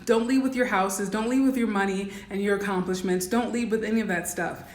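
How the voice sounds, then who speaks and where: A woman with a raspy voice giving blunt, instructive coaching advice in a casual livestream talk, full of "um" and "like". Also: medium pitch, fairly even intonation, brisk, reverberant. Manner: blunt, instructive, many "um" and "like" fillers. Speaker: dating and life coach.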